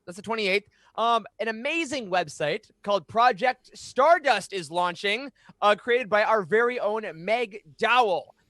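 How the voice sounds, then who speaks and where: Bright, high-pitched male podcast host. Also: no room sound. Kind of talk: upbeat podcast hosting chat. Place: small home studio room. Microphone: boom-arm microphone up close.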